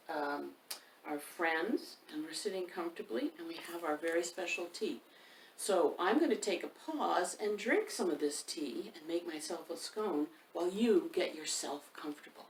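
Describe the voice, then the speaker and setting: A woman hosting a storytime for children, speaking unhurriedly and directly to young listeners as she chats and reads a picture book expressively. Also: lowish voice. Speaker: children's author and storytime host. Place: indoors by a fireplace, roomy sound. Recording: roomy, distant microphone with faint background noise.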